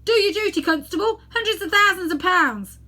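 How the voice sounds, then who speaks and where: A woman's voice: very high voice, expressive, a little fast, pushed and bright, voice pushed up, heavily acted. A woman voicing an outraged, blustering fat male shopkeeper in a storybook reading. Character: indignant fat male shopkeeper, loudly accusing a thief.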